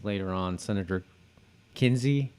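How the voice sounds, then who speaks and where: Halting man, podcast co-host. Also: deep voice, a little mellow, clean tone. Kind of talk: casual conversational discussion.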